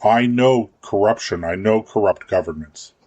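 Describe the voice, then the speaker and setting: A man with a fairly low voice, talking politics on a radio show. He rambles a bit and doubles up his words as he thinks, and the sound is dry and close to the mic. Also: moderate pace, darkish tone, dry recording, lo-fi sound. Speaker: radio talk show co-host. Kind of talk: casual political chat.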